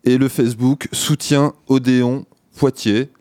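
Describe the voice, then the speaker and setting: A man hosting a community radio show, chatting casually and sounding tired, pausing often on filler sounds into a studio mic. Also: mid-range voice, fairly even intonation, a little mellow, no echo. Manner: hesitant, many filler pauses. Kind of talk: casual on-air chat. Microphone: studio microphone.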